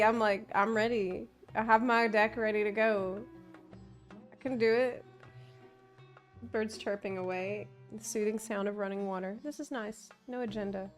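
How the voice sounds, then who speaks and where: A woman chats casually as she streams a game. Her voice is fairly high and her pace is slow, with faint game music behind her. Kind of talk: casual live playthrough commentary.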